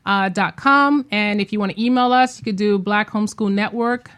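A woman guest talks in a casual radio discussion. Her voice is average in pitch, and her delivery is conversational. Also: moderate pace, a bit bright. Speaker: guest, homeschool group organizer.

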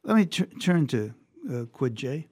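Mellow guy interviewer, soft careful questions, dry close mic. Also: medium pitch, big pitch swings, takes it slowly, dry recording.

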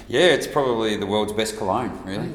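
Low voiced aussie bloke rambling and joking about himself, big roomy echo. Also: male voice, a little fast. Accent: Australian. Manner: rambling, jokey, self-deprecating. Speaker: guest, whiskey brand ambassador. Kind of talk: casual chat. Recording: roomy reverberant space with faint noise.

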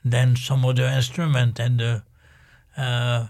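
An older man from Algeria, a guest musician, talking in a casual interview in a halting way, with many pauses and fillers. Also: mid-range voice, completely flat delivery, creaky, a little mellow.

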